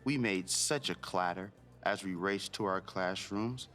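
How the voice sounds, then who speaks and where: A man's voice: low voice, measured pace, fairly bright tone, soft background music. A man hosting a read-aloud for children, narrating expressively and speaking directly to his young listeners.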